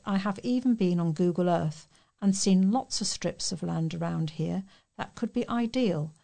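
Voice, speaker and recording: British woman reading news, low creaky voice. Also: a little mellow. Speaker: volunteer newsreader for a talking newspaper. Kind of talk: reading local news articles and letters aloud.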